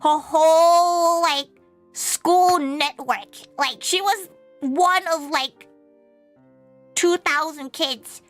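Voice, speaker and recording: A woman's voice: very high voice, extremely slow, soft background music. A young woman chatting casually and bubbly, talking about herself in the third person. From United Kingdom. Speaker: vtuber guest. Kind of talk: casual chat.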